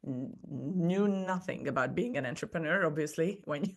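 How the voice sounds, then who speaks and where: A woman's voice: low voice, moderate pace. Woman podcast guest, non-native English speaker. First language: not English. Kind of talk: personal storytelling in a conversation.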